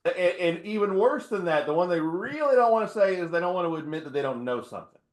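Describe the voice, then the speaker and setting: Higher-voiced male host in an echoey room. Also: lively intonation, quick pace. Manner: chatty, laughs often, restarts. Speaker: podcast host. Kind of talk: interview conversation.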